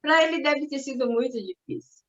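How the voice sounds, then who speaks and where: A woman's voice: high-pitched, normal speed. Reflective older Japanese-born woman, roomy sound. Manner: reflective, hesitant, with many restarts and fillers. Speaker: interviewee. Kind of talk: recalling her family's immigration. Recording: roomy, dull recording.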